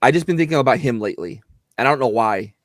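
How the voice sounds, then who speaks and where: A man's voice: mid-range voice, lively intonation, quick pace, no room sound, clean studio sound. A middle-aged man with a slightly whiny voice, an actor chatting casually and rambling with many restarts. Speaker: actor and guest. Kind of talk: casual video chat. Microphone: close mic.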